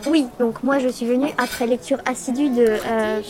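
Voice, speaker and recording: A woman's voice: on the high side, fairly even intonation, moderate pace, lightly acted. A woman playing a scatterbrained, cheeky Mother Christmas who hesitates as she talks, in a comic audio drama. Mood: muddled, cheeky.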